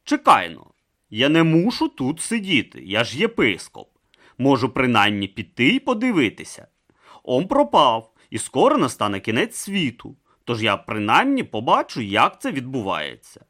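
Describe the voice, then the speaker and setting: A man voicing a slow-thinking, timid young male priest in a storybook reading. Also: medium pitch, huge pitch swings, fairly bright tone, pitched above the performer's own voice, heavily acted. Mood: resolved.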